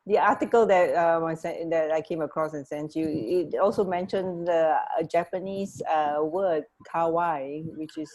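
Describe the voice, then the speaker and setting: A woman co-hosting a radio show, chatting casually and haltingly over an internet connection. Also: low-pitched, darkish tone. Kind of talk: casual chat.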